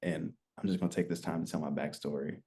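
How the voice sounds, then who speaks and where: A man in his twenties telling his story as a podcast guest, relaxed and rambling, with lots of fillers. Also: rumbling low, fast, slightly rough. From United States. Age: 20s. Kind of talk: casual interview chat.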